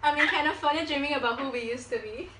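A woman's voice: sits high, fast talker. A young woman vlogger chatting casually to camera and making prank phone calls, with a giggly manner. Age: young adult. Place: small living room indoors. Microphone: camera a few steps away. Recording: roomy, noisy, distant camera microphone.

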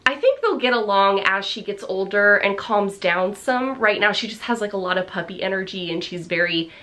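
A woman in her thirties chatting to a desk camera in her home office, her voice bright and forward. She sounds slightly hoarse, and she talks in an upbeat, rambling way with lots of fillers. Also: mid-range voice, normal speed. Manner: chatty, upbeat, many fillers and little laughs. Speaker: vlogger. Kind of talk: casual vlog chat. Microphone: camera on the desk facing her.

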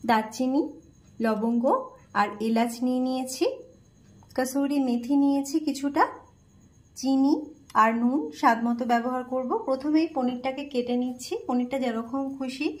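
A woman with a Bengali accent who hosts a cooking channel, calmly talking through a recipe step by step in her home kitchen. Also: high voice, moderate pace. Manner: calm, plain instructional tone, listing ingredients.

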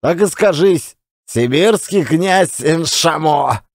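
An actor playing a big, brash, rich Siberian gold-mine owner with a bluff, boisterous manner. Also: male voice, on the high side, expressive, takes it slowly, no room sound, studio quality, full character voice. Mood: boisterous, amused.

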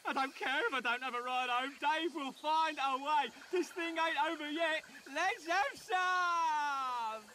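Hedonistic British raver, high bright voice, rambling, slangy, game character. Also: male voice, unhurried, few pauses, slight background noise, heavily acted. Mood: defiant, excited. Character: manic hedonistic party-loving male DJ, chatty, unrepentant.

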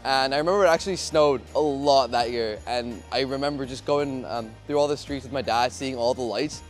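Young man telling a halting story. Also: medium pitch, soft background music. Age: young adult. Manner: halting, with many fillers. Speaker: street interviewee. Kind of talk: casual storytelling. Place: outdoors in a city plaza. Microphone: lapel mic.

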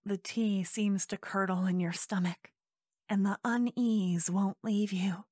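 A woman narrating a scripted second-person fantasy story in ASMR style, hushed, measured and suspenseful. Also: mid-range voice, fairly even intonation, no room sound, clean studio sound.

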